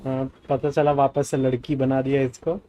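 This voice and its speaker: Rapid-talking male streamer. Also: medium pitch, level tone, highs cut off, background noise. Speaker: game streamer. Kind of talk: casual live commentary. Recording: game music and sound behind.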